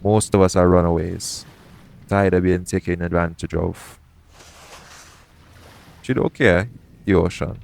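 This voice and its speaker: Man playing low-voiced pirate captain. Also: steady tone, measured pace, creaky, pauses often, darkish tone. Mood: frank, reflective. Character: shady, guarded pirate captain, roguish yet gentle. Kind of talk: intimate audio roleplay acting addressed to the listener.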